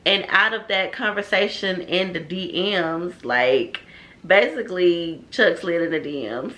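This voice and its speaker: A woman's voice: fairly low pitch, animated, measured pace, sharp tone. A woman, chatting and teasing in a casual couple's Q&A vlog. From Atlanta, Georgia, US. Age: 30s. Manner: chatty, laughs often, teasing. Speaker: vlog co-host.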